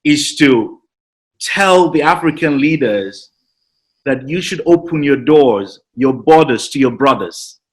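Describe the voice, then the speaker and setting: A man's voice: mid-range voice, expressive, slow. A man, a podcast guest giving earnest, explanatory answers that build to passionate appeals. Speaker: podcast guest, citizenship consultant. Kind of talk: conversational interview.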